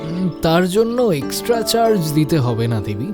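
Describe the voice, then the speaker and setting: A man voicing a gentle, modest, poetic young man in an animated love story, courteous and. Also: a little high, normal speed. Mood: gentle, teasing. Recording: studio voice under loud background music.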